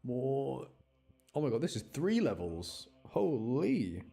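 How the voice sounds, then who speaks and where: A man's voice: mid-range voice, wildly swooping pitch, slow, gravelly, mellow, breathy edge, soft background music. A man doing casual live game commentary for his chat, rambling and thinking aloud as he plays. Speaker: game streamer.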